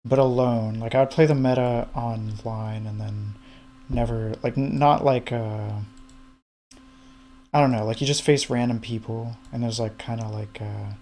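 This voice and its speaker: A man's voice: mid-range voice, steady tone, measured pace, dark tone, a little hiss or hum. A man of about thirty chatting casually, hesitant, often trailing off with fillers. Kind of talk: casual chat.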